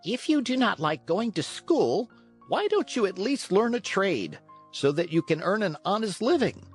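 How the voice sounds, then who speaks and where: Narrator doing a wise old cricket guy, tiny high voice, calm but preachy storybook lecturer, music in it. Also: moderate pace, husky. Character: tiny wise old male talking cricket, patient moralizer.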